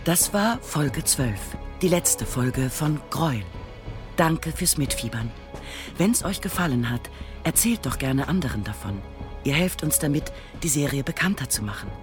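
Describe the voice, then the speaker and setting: A man's voice: on the high side, expressive, unhurried, music in the background. A man reading a scripted announcement as the closing announcer of an audio drama podcast. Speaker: credits announcer.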